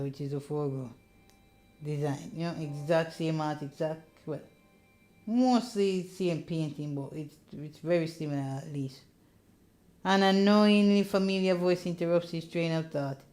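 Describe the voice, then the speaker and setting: A woman's voice: low-pitched, fairly even intonation, unhurried, a little mellow. A woman narrating a visual novel playthrough, reading the text aloud with casual asides.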